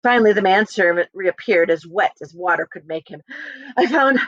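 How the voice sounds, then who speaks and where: A woman's voice: lowish voice, fairly quick, no echo, highs cut off. A woman reading a children's novel aloud expressively as a teacher, speaking to students and checking in with them.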